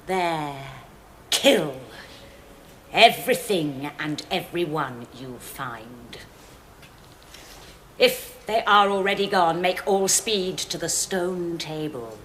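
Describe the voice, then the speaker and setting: A woman's voice: fairly low pitch, a bit bright, a little hiss or hum. A woman playing a cruel, imperious witch queen in a radio drama, commanding and menacing.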